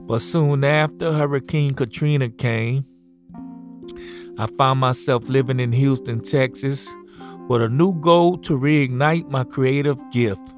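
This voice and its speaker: A middle-aged man from New Orleans, a poet reading a measured self-introduction and a poem. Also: mid-range voice. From New Orleans, USA. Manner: measured, spells words out. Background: soft guitar music.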